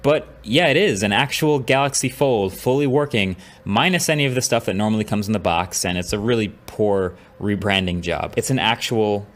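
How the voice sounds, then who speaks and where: A man's voice: fairly low pitch, moderate pace, hardly any pauses. A man reviewing a phone in a played clip, explaining in a matter-of-fact way. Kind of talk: product review.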